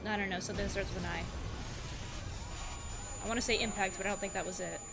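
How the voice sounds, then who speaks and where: A woman's voice: medium pitch, steady tone, fast, creaky, over music. A woman giving casual live reaction commentary as a video host, musing aloud and asking herself questions.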